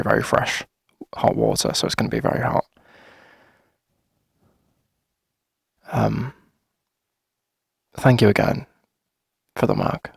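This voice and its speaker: A man playing a shy, friendly, flirty coffee shop owner in a gentle ASMR roleplay, speaking hesitantly in a husky, breathy voice. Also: on the low side, no echo, clean studio sound, heavily acted. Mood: careful, grateful. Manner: hesitant, gentle, halting with soft chuckles. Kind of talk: soft conversational ASMR roleplay.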